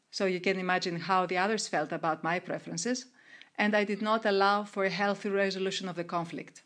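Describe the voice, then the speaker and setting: A middle-aged woman from Greece, answering interview questions in a measured way with frequent restarts and fillers. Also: medium pitch. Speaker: guest, researcher and coach of women entrepreneurs.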